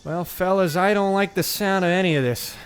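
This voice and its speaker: A man's voice: high-pitched, a little fast, a little hiss or hum, natural voice. A man playing a naive, dim-witted young ballplayer, guileless and wholesome, in a comedic radio play. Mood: puzzled, earnest. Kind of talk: old-time radio comedy acting with narration. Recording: music behind the voice.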